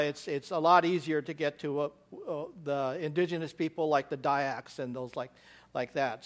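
American guy talking thru slides at church, kinda high voice, lots of ums and restarts. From United States. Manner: rambling, with many fillers and restarts. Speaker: missionary.

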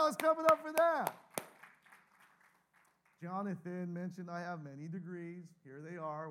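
Pastor, male, 40s. High, bright voice; playful, jokey speaker who keeps asking the room for answers. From Canada. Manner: joking, playful, calls for audience replies. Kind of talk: lively humorous talk to an audience. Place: large room with a live audience.

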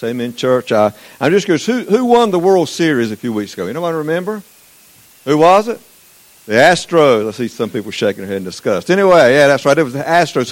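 A man's voice: mid-range voice, poor audio. A man from Eastern North Carolina with a Southern accent, preaching a folksy sermon as a guest missions speaker and quizzing the congregation. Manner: folksy, chatty, asks the congregation questions. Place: church sanctuary.

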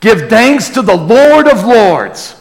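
A man's voice: high-pitched, very animated, takes it slowly. A man preaching a sermon and reading scripture aloud with emphatic, reverent delivery. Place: large church hall before a congregation. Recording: reverberant large hall, amplified live sermon.

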